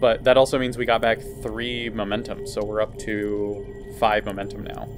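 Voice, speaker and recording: A man's voice: fairly low pitch, completely flat delivery, moderate pace, music in the background. A man playing a tabletop game, asking questions to confirm rules and adding up successes aloud. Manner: methodical, tallying numbers, asking to confirm. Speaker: player.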